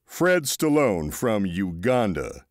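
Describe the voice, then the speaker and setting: A man's voice: fairly low pitch, slow, no room sound, clean studio sound, pitched above the performer's own voice. A man doing a stern, dogged police officer. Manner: flat, authoritative questioning.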